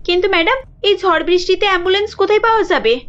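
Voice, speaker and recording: A woman's voice: very high-pitched, racing, fairly bright tone, highs cut off, soft background music, pitched above the performer's own voice. A woman voicing a humble, poor young working woman in a cartoon, earnest, caring and deferential. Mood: alarmed. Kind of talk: cartoon dialogue.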